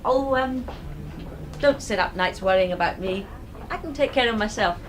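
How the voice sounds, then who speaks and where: A woman's voice: medium pitch, animated, normal speed, close to an everyday voice. An actress playing a hip, independent young woman, flippant and casual, in a radio drama. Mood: flippant, breezy. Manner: flippant, casual, self-assured. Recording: noisy background ambience.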